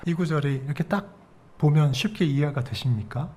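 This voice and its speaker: A man's voice: fairly high pitch, lively intonation, normal speed, a little mellow, slightly rough. A middle-aged man with a Korean regional dialect preaching a sermon as pastor, explaining verses step by step and asking the congregation questions. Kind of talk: expository sermon.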